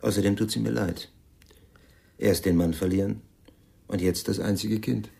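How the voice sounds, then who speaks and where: A man's voice: on the low side, fairly even intonation, normal speed, vocal fry, dark tone. A man acting a stern, easily irritated husband and father in a German radio play, speaking matter-of-factly and curtly.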